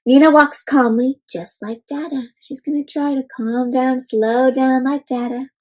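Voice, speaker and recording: A high-pitched woman storyteller reads a picture book for young children. She is expressive, often turning to her listeners with questions and punctuating the tale with exclamations and playful sound effects. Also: normal speed. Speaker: children's read-aloud storyteller. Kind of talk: interactive picture-book reading for kids.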